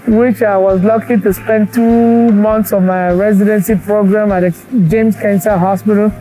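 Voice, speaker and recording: A man in his forties from Cameroon, a doctor and cancer researcher, speaking earnestly and reflectively in a documentary interview, pausing as he thinks. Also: high voice, level tone, mellow, faint music behind, low-quality recording. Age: 40s. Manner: earnest and reflective, with thoughtful pauses.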